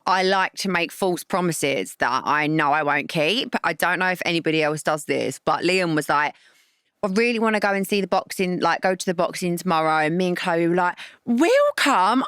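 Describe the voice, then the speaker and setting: A woman's voice: on the low side, fairly bright tone, clean tone, no echo, studio quality. A young woman co-hosting a podcast in casual chat, hungover, talking in a giggly, rambling way. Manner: chatty, giggly, lots of "like". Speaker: podcast co-host. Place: podcast studio. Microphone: studio microphone.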